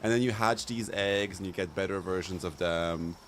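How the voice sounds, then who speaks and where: A man's voice: deep voice, moderate pace, a bit bright, slight background noise. Campy, playful young man streaming. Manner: campy, playful, laughs often, slangy. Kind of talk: casual live-stream chat over gameplay.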